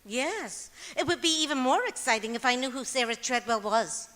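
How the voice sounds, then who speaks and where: A woman's voice: sits high, normal speed, fairly bright tone. A woman playing a chatty, scatterbrained, cheerful wife in an old-time radio comedy, on an auditorium stage. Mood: chatty, oblivious. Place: performing arts center auditorium, live stage. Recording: stage microphone in echoing auditorium.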